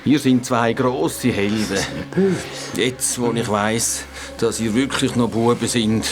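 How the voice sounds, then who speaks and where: This is a gentle elder with a Swiss German accent, voiced by a man, speaking slowly and solemnly with quiet resignation. The pitch sits in the middle range, and music plays clearly underneath. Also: steady tone, close to an everyday voice. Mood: resigned. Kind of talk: Swiss German radio play acting.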